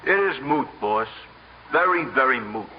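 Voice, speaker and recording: Man as a ghoulish, deadpan surgeon henchman. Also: medium pitch, normal speed, close to an everyday voice. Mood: polite menace. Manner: formal, polite, ghoulishly deadpan. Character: deadpan gangster henchman posing as a sinister surgeon. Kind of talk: 1950s radio comedy acting. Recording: dull, noisy vintage radio recording.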